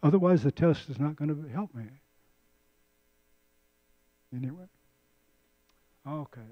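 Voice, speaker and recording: A man's voice: fairly high pitch, brisk, no echo. Older man, lecturer, handheld mic, conversational, repeats words, dry room, muffled. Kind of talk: community lecture with slides.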